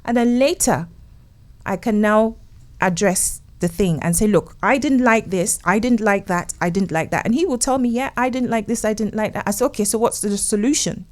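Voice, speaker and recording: A woman's voice: medium pitch, moderate pace. Earnest woman sharing relationship advice. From England. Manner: earnest, tells stories, acts out her husband's lines. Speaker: relationship consultant guest. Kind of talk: casual conversational interview.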